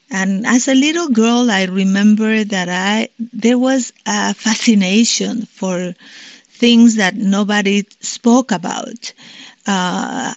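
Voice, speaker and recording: A woman in her sixties from Colombia with a Colombian Spanish accent, telling her life story in a reflective way, pausing and restarting often. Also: medium pitch, dry recording, highs cut off. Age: 60s. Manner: reflective, many restarts and pauses, soft-spoken. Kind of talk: personal life story, unscripted.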